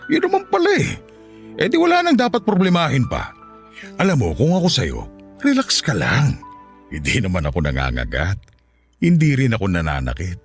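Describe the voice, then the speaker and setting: An actor playing a lustful, coaxing middle-aged Filipino widower in a Tagalog radio drama. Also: male voice, medium pitch, huge pitch swings, moderate pace, long pauses, faint music behind. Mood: coaxing, sly. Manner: suggestive, coaxing, chuckling seducer.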